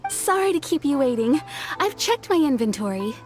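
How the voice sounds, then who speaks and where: Bright, helpful shop owner, lively sing-song lilt over music. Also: female voice, sits high. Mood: friendly. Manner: brisk, helpful, customer-service polite. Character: friendly shopkeeper woman, businesslike. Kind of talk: game voice acting.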